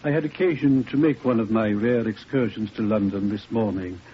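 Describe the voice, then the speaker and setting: A man's voice: fairly low pitch, normal speed, natural voice. An actor playing a dignified elderly clergyman in 1940s radio style, mild, regretful and hesitant, in clipped phrases. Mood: mildly regretful. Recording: muffled noisy 1940s radio recording.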